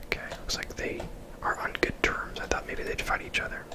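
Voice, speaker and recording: A person whispering casual, chatty ASMR commentary while playing a video game. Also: brisk.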